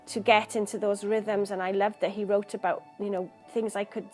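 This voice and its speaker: A woman's voice: medium pitch, little pitch movement, moderate pace, darkish tone, soft background music. A woman poet from Wales, talking about her work in an earnest, thoughtful interview, searching for words as she goes. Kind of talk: reflective interview talk.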